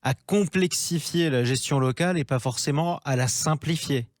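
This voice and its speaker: A man's voice: a little high, normal speed, no echo. Male radio host, dry sound. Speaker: radio interviewer. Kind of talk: radio interview, conversational questioning.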